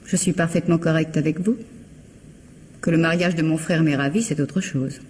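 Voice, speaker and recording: An actress playing a cold, haughty bourgeois sister-in-law in a French radio mystery, with a condescending, formal, clipped delivery. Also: female voice, deep voice, steady tone, moderate pace, darkish tone, a little hiss or hum, close to an everyday voice. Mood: cold, cutting.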